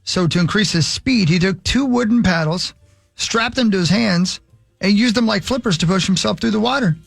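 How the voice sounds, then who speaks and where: A man's voice: high-pitched, normal speed, creaky, no echo, clean studio sound. A man hosting a morning radio show with casual banter and trivia.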